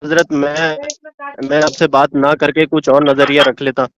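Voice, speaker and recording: A man chatting casually on a phone call as a deferential caller who speaks haltingly. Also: fairly high pitch, very animated, creaky, a bit bright, a little hiss or hum.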